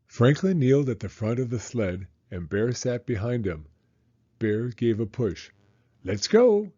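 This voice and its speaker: A man reading a children's story in an expressive, deliberate narrating style with theatrical emphasis. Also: mid-range voice, muffled recording.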